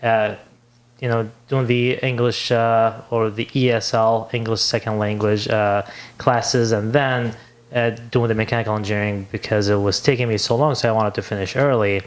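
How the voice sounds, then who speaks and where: A man's voice: on the low side, steady tone, moderate pace. A young man from Libya, an English speaker, telling his story as a podcast guest and searching for his words. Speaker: podcast guest, business owner. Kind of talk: casual interview chat.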